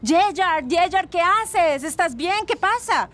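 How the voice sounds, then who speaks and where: A woman's voice: very high voice, lively intonation, slow, fairly bright tone, heavily acted. A woman playing a troubled young student, giving earnest, conversational testimony in an audio documentary drama. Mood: troubled, puzzled. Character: troubled young female student witness, sympathetic. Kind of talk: casual acted documentary testimony.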